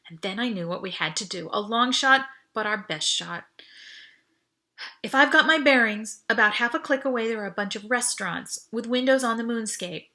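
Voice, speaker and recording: A woman narrates an audiobook in the first person. Her voice is bright and forward, a little high, and she reads with expressive urgency and drama. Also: normal speed. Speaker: author reading own novel.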